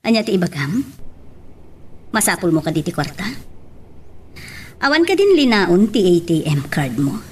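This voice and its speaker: An actress playing a gentle, doting middle-aged mother in an Ilocano radio drama. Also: lowish voice, sing-song, normal speed, long pauses, a little hiss or hum. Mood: tender.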